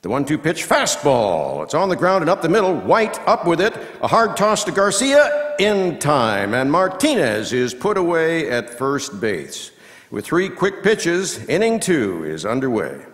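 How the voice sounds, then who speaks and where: A man performing as a folksy baseball radio commentator, calling play-by-play in measured, punchy phrases with dramatic pauses. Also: fairly high pitch, sing-song, a bit bright, voice pushed up. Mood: keen, focused. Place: live reading in a large reverberant hall. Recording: echoey hall with long reverb.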